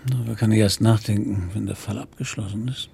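An actor playing a weary, middle-aged police commissioner in a naturalistic radio drama, terse and sceptical, with a gravelly, husky voice. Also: male voice, on the low side, fairly even intonation, fairly quick, a little mellow, slight background noise. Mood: weary, subdued. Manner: terse, subdued answers.

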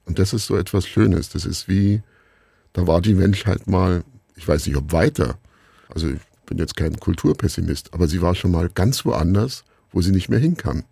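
A middle-aged man giving reflective answers as an interview guest, musing and haltingly searching for words. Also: low-pitched, animated, gravelly, mellow, slightly rough, no echo. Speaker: interview guest, radio play maker.

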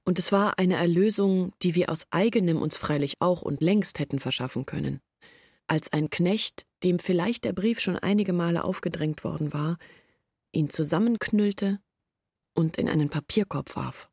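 Low husky young woman, earnest and troubled, telling her story in a radio play, dry close sound. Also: moderate pace, darkish tone, dry recording. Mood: earnest, weary. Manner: earnest, reflective storytelling in long sentences. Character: troubled young woman confessing her family's long hardship. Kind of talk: German literary radio drama acting, monologue.

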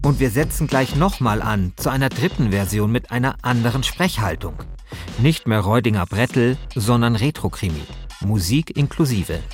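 A man's voice: mid-range voice, animated, moderate pace, faint music behind. A man hosting a podcast with scripted narration. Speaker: host.

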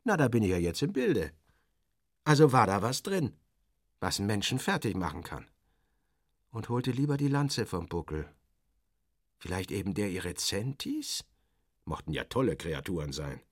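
A man with a fairly low voice narrates a literary story in the first person. His delivery is wry and theatrical, swinging widely in pitch over clipped phrases. The recording is close and dry. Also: big pitch swings, normal speed, pauses often, slightly rough, dry recording. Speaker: narrator in character as a journalist.

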